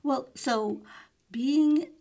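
A woman hosting an interview show, reading a prepared introduction and then chatting with a guest, with soft murmured responses. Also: high voice, drawn-out words. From Philippines. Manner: reads intro smoothly, then chats with soft backchannels. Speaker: podcast host.

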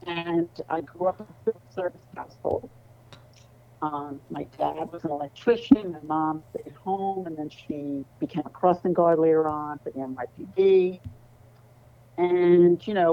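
Middle-aged New woman, low voice, relaxed chatty guest, dull lo-fi audio. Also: normal speed. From New York City. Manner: relaxed, conversational, frequent ums and laughs. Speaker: guest, lawyer. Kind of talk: casual interview conversation.

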